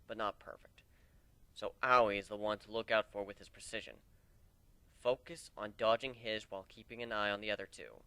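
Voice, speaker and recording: A man's voice: fairly low pitch, pushed and bright, dry recording, voice pushed down. A man voicing a brash, scheming griffin fighter in a dramatic story reading. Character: male griffin warrior, brash, cocky, scheming mercenary.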